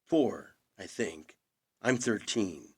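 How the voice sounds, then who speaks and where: Audio drama role: older male film star, low voice, charming but evasive, understated and gently wry, close dry sound. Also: expressive, slow, slightly rough, dry recording, full character voice. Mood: fond, teasing. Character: aging movie-star brother, charming, evasive, gently attentive.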